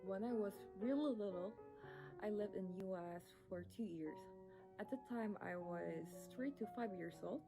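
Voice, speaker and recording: A woman's voice: mid-range voice, moderate pace, gravelly. Young Korean woman over music. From South Korea. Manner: careful, reciting a short list of facts. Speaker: violin competition finalist. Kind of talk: prepared self-introduction.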